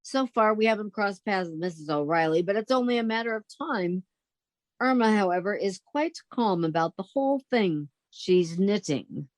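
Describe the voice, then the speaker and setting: A woman's voice: medium pitch, animated, normal speed, dry recording, close to an everyday voice. A woman playing a sensible, wry young roommate who narrates a 1940s-style radio comedy. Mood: wry narration. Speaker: actress in theatre company.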